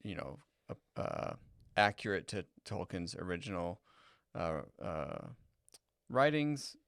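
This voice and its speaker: A man's voice: low voice, big pitch swings, takes it slowly, creaky, fairly bright tone, no echo, clean studio sound. A man co-hosting a podcast, speaking casually and hesitantly, often repeating words as he searches for them. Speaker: podcast co-host. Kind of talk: casual chat.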